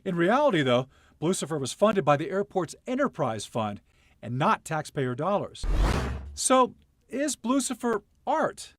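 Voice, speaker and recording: A man's voice: high-pitched, very animated, unhurried, no echo, clean studio sound. A man narrating a scripted TV news feature as its reporter, telling it in a playful, dramatic way.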